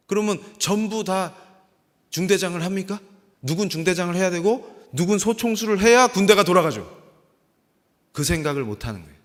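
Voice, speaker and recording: A man in his forties from South Korea giving an impassioned, sermon-like lecture, emphatic and full of rhetorical questions. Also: on the high side. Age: 40s. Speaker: professor giving a guest church lecture. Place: large church hall. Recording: long echoing hall reverb.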